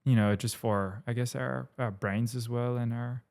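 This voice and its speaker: Young guy, french first language, some aussie in the accent, gravelly voice, easygoing rambling chat. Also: mid-range voice, moderate pace. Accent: French, with some Australian. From New Caledonia. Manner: relaxed, rambling, laughs easily. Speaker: guest, musician. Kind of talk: casual interview chat.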